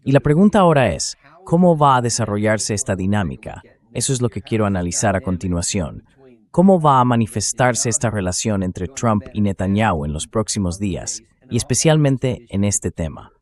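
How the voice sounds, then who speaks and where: A man with a fairly low voice delivers political commentary as a show host. The voice is a synthetic translated dub, and he keeps putting pointed, incredulous questions to the listener. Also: very animated, normal speed, darkish tone.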